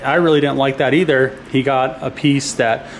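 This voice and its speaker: A man in his thirties talks through a casual car vlog in a relaxed, chatty voice at a middle pitch. A faint hiss sits under his words as he records on a handheld camera. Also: normal speed. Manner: easygoing, conversational, with fillers. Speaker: YouTube host. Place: car garage, then indoors at home.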